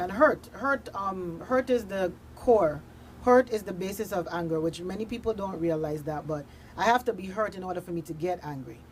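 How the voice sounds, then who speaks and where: A woman's voice: lowish voice, low-quality recording. A woman speaking earnestly and conversationally as a guest in a discussion, repeating phrases for emphasis. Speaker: radio drama producer, studio guest. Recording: noticeable background noise, room ambience.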